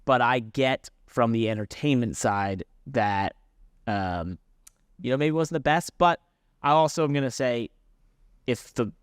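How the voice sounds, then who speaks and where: A man's voice: medium pitch, a little slow, smooth, dry recording, studio quality. Man explaining his take on a podcast. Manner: explanatory, self-correcting, interjecting. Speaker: podcast co-host and racing driver. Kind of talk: casual sports podcast discussion.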